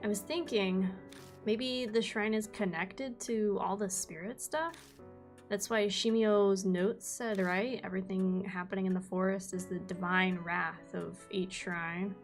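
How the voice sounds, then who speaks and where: A confident young female reporter in a game mystery, eager and quick to question, as a woman reads her out. The voice sits at a middle pitch, and soft game music plays underneath. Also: fairly even intonation, lightly acted.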